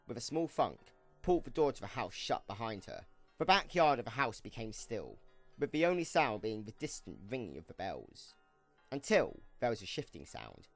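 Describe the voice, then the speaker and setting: A man narrating a scripted audio drama in a dramatic storytelling style. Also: mid-range voice.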